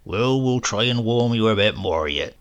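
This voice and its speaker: A man's voice: lowish voice, animated, moderate pace, clean tone. A man voicing a sly old countryman uncle, drawling and earthy, with a mock-meek air. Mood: grumbling.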